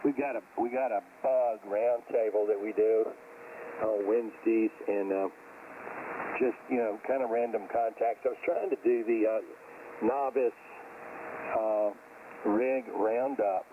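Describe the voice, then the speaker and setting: A man's voice: lowish voice, steady tone, unhurried. Man on a crackly, muffled ham radio. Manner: hesitant, rambling, repeats words. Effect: shortwave ham radio transmission. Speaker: amateur radio operator. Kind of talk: casual radio round-table chat. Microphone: radio hand mic. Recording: noisy, band-limited, distant shortwave radio signal.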